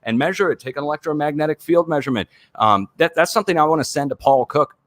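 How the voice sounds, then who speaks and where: A man's voice: on the high side, quick pace, fairly bright tone, no room sound. A man in his forties, an earthquake livestreamer, rambling earnestly as he explains his plans on a casual video call. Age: 40s.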